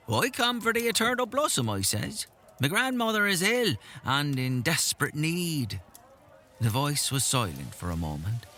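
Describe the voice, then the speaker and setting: Man acting animated old village storyteller. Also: medium pitch. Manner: theatrical, folksy, savouring the tale. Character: folksy old village storyteller, boastful, earnest and whimsical. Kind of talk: audio drama tale-telling.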